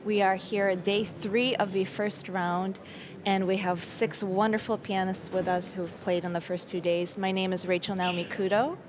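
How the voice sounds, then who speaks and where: A woman's voice: medium pitch, level tone, moderate pace, noisy background. A woman hosting a panel interview with pianists. She speaks in an effusive way and repeats her praise. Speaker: host. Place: concert hall venue. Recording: crowd murmur behind.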